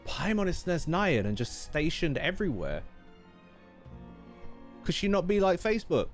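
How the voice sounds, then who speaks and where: A young man giving casual, slangy live commentary as a game streamer, in an animated way. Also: fairly high pitch, normal speed, soft background music.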